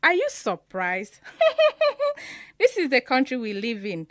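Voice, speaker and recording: An actress with a Nigerian accent playing an exasperated, sarcastic social media user in a radio drama. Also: female voice, fairly high pitch, sing-song, unhurried, a bit bright, no echo, studio quality.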